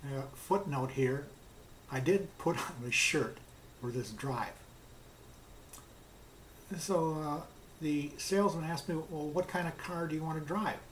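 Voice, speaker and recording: Higher-pitched man telling an anecdote over noise. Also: unhurried, pauses often, poor audio. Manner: plainspoken, recounts dialogue with "he says, I says". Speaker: car customer telling his experience. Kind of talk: casual anecdotal storytelling.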